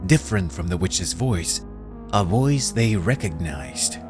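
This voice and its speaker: Dramatic storybook narrator, man, low register, very animated delivery. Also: a little slow. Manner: dramatic, expressive. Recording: music playing under voice.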